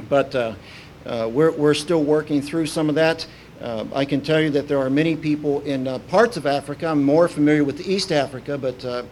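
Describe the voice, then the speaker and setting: A man raised in Kentucky speaks before a congregation, his voice sitting a little above the usual male pitch. He talks in an informal, halting way, pausing on "uh" and restarting his phrases. A faint hiss lies under the recording. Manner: halting, many "uh" fillers and restarts. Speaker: visiting minister. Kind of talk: informal sermon opening.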